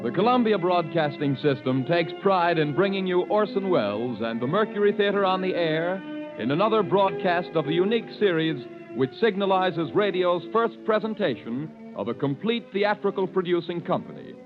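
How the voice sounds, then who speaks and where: A man announcing a 1930s network radio drama in a formal, stately way. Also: high-pitched. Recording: dull 1930s radio recording.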